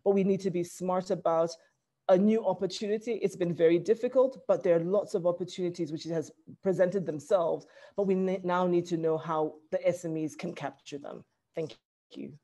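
A woman's voice: lowish voice, normal speed, warm tone. A middle-aged woman with a Zambian accent giving conversational panel remarks over a video call from a small office. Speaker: small business owner, webinar panelist. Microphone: headset on a video call.

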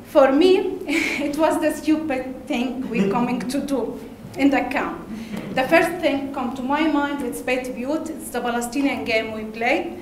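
A woman from Lebanon with an Arabic accent, giving a conference talk in halting English with hesitations and laughs. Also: high voice, noisy background. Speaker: conference speaker. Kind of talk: presentation talk. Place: large darkened conference hall. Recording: echoey hall, faint ambience.

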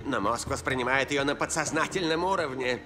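A man's voice: medium pitch, brisk, lightly acted. A man voicing a haughty old mad-scientist villain in a cartoon, gloating and sinister. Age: older. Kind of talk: animated cartoon dub. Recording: music behind the voice.

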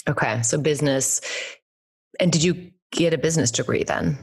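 A woman in her thirties with a low, slightly husky voice hosts a podcast. She asks questions in a loose, casual way, full of fillers and quick affirmations. She speaks close to a desk condenser mic at home. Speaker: podcast host. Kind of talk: interview questions. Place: bright home room, indoors. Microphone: desk condenser mic with headphones.

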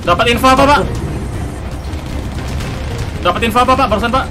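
Very high-pitched, rapid Indonesian man gaming. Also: music in the background. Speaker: online roleplay game player. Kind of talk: gaming roleplay chatter over voice chat.

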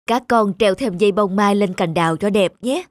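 A woman voicing a tender mother in a cartoon, gently explaining things to her children. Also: medium pitch, normal speed, slight background noise, close to an everyday voice. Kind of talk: animated cartoon dialogue.

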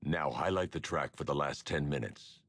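An actor playing a grim, stoic masked vigilante in a video game, terse and commanding with a raspy voice. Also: male voice, a bass voice, moderate pace, a bit bright, dry recording, heavily acted. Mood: grim, controlled.

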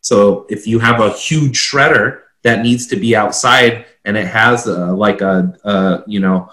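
A middle-aged man with a fairly low voice talks through technical requirements in an informal way. He sounds like he is on a computer mic in a room with noticeable echo. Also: fairly even intonation, a little slow, sharp tone. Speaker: standards consultant and co-host. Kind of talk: informal explanatory commentary. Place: home office, indoors, on a video call. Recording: roomy, echoing video-call audio.

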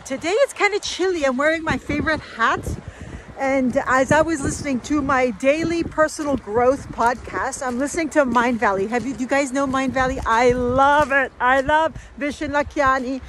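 A bright, high-pitched woman talks in a chatty, upbeat way, stretching her words for emphasis. She is filming herself outdoors on a phone at arm's length. Faint outdoor noise sits behind her voice. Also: moderate pace. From Peru, raised in the United States. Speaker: vlogger hosting a weekly giveaway. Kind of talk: casual live selfie vlog. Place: outdoors by a stony riverbed. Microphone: phone held at arm's length.

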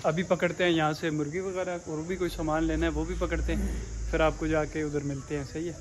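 A man's voice: on the high side, level tone, a little fast. A young man casually narrating a vlog to camera while he shops and walks outdoors. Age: young adult. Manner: chatty, talks to camera while shopping and walking. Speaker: vlogger. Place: outdoors on a village market street and hillside. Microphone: phone camera held at arm's length. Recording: clear background noise outdoors.

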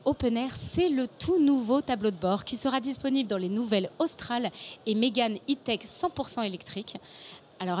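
A woman, hosting a promotional interview on a handheld microphone. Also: a little high. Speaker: interviewer and host. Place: exhibition studio stand at a motor show. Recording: clear background ambience noise.

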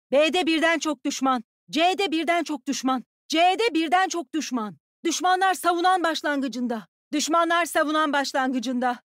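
A woman playing a tough, bossy young soldier who commands animals in a video game, with a brisk, commanding, teasing delivery. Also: high-pitched, fairly bright tone, no room sound, clean studio sound. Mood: urgent, alert. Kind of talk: video game character voice lines.

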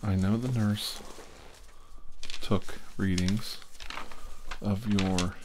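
A man playing a calm, probing doctor in an ASMR exam roleplay, speaking in a hushed, slow, reassuring way. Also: deep voice, noisy background. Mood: soothing. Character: calm, probing male doctor examining a suspicious patient.

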